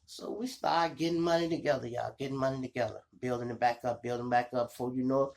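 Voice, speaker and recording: A man with a Chicago accent and a gravelly voice, hosting a show and telling street stories in slangy, animated style, acting out the dialogue. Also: mid-range voice, quick pace. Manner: animated, slangy, addressing listeners as y'all. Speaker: online show host and storyteller. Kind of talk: casual street storytelling with acted-out dialogue.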